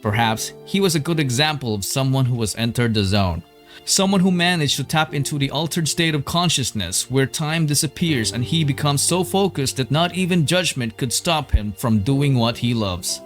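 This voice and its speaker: A man's voice: medium pitch, animated, moderate pace, a bit bright. Solemn male narrator over faint music. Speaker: documentary narrator. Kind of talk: scripted narration.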